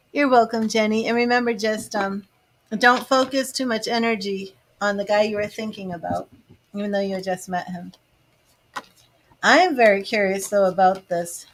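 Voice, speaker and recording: A woman giving live tarot readings, chatting to viewers by name and musing over the cards. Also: medium pitch. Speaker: tarot reader.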